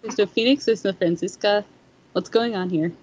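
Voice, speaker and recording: A woman's voice: medium pitch, moderate pace, darkish tone, no room sound, rough recording. A woman reading a first-person story aloud on a podcast, sometimes stumbling over the words. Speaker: fan fiction podcast reader.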